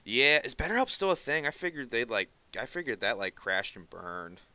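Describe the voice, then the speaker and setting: A man's voice: medium pitch, sing-song, pushed and bright. A man with a nasal voice that sounds sarcastic by default, chatting casually with viewers while he draws on a stream. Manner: rambling, quick asides and mutters while working. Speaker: streaming artist.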